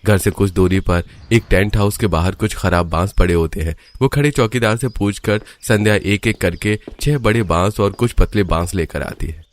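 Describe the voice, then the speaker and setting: A man's voice: on the low side, moderate pace, hardly any pauses. A man narrating a scripted cartoon storybook tale as the narrator.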